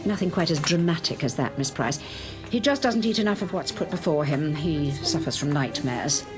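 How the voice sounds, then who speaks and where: A firm, commanding hospital matron issuing crisp orders. Her voice sits low for a woman and moves with lively pitch. Music runs behind her. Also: moderate pace. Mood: instructing. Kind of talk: radio drama acting. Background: music score.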